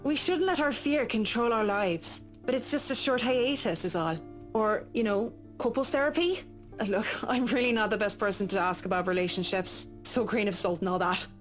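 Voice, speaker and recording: A woman's voice: mid-range voice, normal speed, faint music behind, close to an everyday voice. Frank Irish professional woman played by an actress. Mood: frank, careful. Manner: straightforward, casual, tactful. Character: frank, keen professional woman, tactful and independent-minded. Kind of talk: visual novel game acting.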